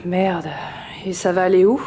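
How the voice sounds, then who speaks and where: A woman's voice: lowish voice, little pitch movement, moderate pace, dark tone, slight background noise, lightly acted. A woman playing a sullen young recycling worker in an audio drama, terse and laconic.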